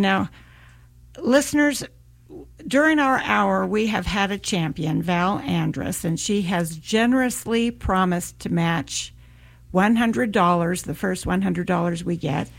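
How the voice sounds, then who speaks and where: A woman's voice: medium pitch, creaky. An American woman hosting a community radio fundraiser, thanking donors and reading out the pledge number. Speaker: radio host.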